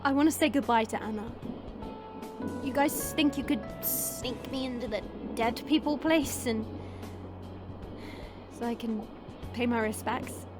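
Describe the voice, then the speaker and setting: A woman's voice: fairly high pitch, measured pace, pauses often, over music, close to an everyday voice. A woman playing a brash, teasing female vampire in an audio roleplay, sarcastic and playful in a casual way. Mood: sheepish.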